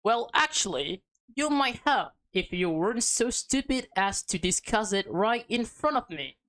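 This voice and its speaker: A woman's voice: medium pitch, expressive, measured pace, a bit bright. A woman voicing a stern, scolding mother who teaches at a school, firm and reproachful, in a visual novel. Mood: angry, stern.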